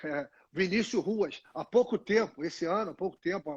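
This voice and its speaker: A man's voice: high voice, moderate pace, breathy edge. An older man from Brazil, telling long stories in a casual live interview, full of restarts and "né?" tags, speaking into a phone on a tripod. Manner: rambling storyteller, many restarts and "né?" tags. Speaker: guest, retired fighter. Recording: dull lo-fi phone audio.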